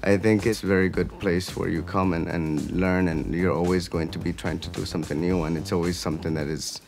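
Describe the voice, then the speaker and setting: A man's voice: deep voice, steady tone, brisk, creaky, darkish tone, faint music behind. A man from the US, a project manager, speaking casually with many restarts in a documentary interview. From grew up in the US.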